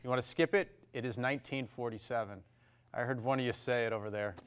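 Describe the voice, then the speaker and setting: A man with a Maine accent hosting a televised high school quiz show, reading questions briskly and reacting quickly to the answers. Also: steady tone, smooth. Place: television studio.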